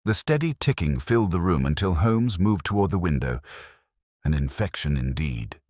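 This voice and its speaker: Very deep-voiced man narrating a mystery. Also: big pitch swings, darkish tone, dry recording, clean studio sound. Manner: measured, dramatic storytelling. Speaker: narrator of detective audio fiction. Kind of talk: scripted first-person mystery narration, audiobook reading.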